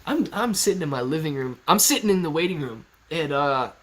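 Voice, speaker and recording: Male, 30s, slightly high voice; laid-back live-stream host reading chat from home. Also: expressive, a little fast. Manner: laid-back and chatty, laughs often, reads chat aloud. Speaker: stock livestream host. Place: sitting in his living room. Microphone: laptop on a kitchen stool at eye level.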